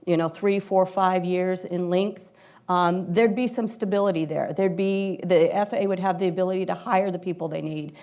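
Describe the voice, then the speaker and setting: A woman's voice: on the low side, steady tone, moderate pace, mellow. A woman speaking as a panelist, giving an earnest, spontaneous answer at a live discussion. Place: live panel event before an audience.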